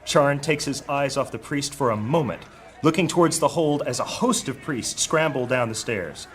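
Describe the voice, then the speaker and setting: A man narrating a high fantasy audio drama with dramatic storytelling delivery. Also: moderate pace, lightly acted. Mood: dramatic, grave. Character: male narrator of dark high fantasy adventure.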